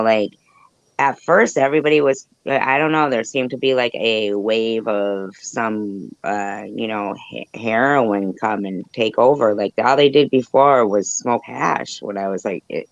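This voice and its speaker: A woman's voice: very deep voice, unhurried, dry recording. A woman in her fifties chatting candidly and casually on a group call, rambling and repeating herself. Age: 50s. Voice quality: no teeth. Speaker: livestream panel host. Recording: dull lo-fi call audio, very dry.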